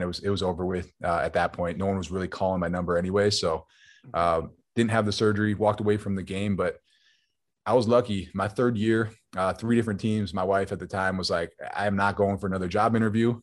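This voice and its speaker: Fast-talking low-voiced man telling stories. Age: 20s. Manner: relaxed, rambling, says "man" often. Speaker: guest, former football player turned entrepreneur. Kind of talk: casual interview storytelling.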